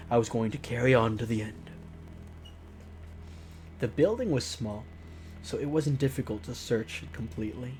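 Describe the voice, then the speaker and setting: A man's voice: mid-range voice, a little mellow, a little hiss or hum. A man hosting a let's play, reading a visual novel aloud theatrically and breaking off into mocking, exclaimed asides. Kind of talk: narrated game let's play with joking asides.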